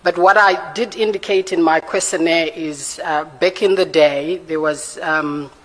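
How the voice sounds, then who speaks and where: A Zimbabwean woman in her forties answering formal interview questions in a measured, careful and hesitant way, with a Zimbabwean accent. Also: deep voice, steady tone. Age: 40s. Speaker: candidate for judge being interviewed. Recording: reverberant hall sound.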